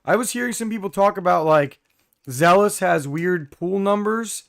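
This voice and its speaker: Hoarse young man chatting on a stream. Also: high-pitched, normal speed. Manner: rambling, many fillers, throat clearing. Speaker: live stream host. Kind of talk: casual live stream chat, reading viewer comments.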